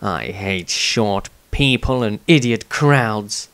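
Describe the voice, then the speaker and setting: A menacing, misanthropic fantasy warrior performed by a man speaks with deliberate contempt. His voice is smooth and clear, recorded dry and close. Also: fairly low pitch, lively intonation, a bit bright, no echo, exaggerated voice. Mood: cold menace. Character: cold, misanthropic male fighter, menacing and scornful. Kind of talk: dramatised diary reading.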